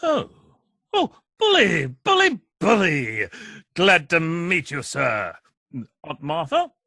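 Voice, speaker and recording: A man's voice: high-pitched, pushed and bright, no room sound, studio quality, heavily acted. An actor playing a boisterous, deluded nephew who believes he is President Roosevelt, in a theatrical radio comedy. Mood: exuberant.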